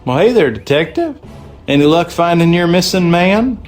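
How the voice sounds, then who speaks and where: A man's voice: fairly high pitch, expressive, moderate pace, music in the background, natural voice. An actor playing a helpful, casual small-town man from the American South in a video game. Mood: friendly. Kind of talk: video game dialogue acting.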